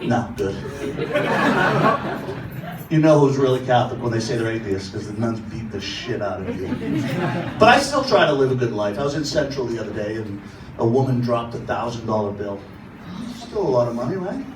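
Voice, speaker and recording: A man's voice: medium pitch, big pitch swings, moderate pace, long pauses. An older man from doing a profane stand-up set with crowd work at a comedy club. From Boston, Massachusetts. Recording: roomy club audio, mic far off, loud crowd laughter. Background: loud audience laughter and club noise.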